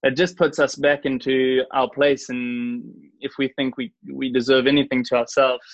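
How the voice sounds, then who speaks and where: A man's voice: mid-range voice, level tone, moderate pace, no room sound, highs cut off. A young man from Switzerland with a New Zealand-influenced accent, chatting earnestly and casually as an interview guest. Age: young adult. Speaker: podcast guest, church worship singer.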